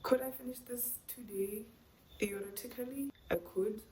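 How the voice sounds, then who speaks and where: A woman's voice: on the high side, normal speed, darkish tone, a little hiss or hum, rough recording. A woman chatting casually and candidly in slangy, conversational language to camera, as a book vlogger. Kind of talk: casual reading vlog chat to camera.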